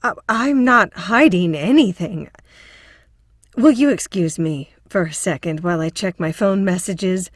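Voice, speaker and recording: An anxious yet assertive therapist turned sleuth played by a woman in an audio drama. Her earnest voice sits fairly low and is recorded very dry. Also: measured pace, no room sound. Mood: nervous, evasive. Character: anxious, assertive feminist woman psychotherapist turned amateur detective.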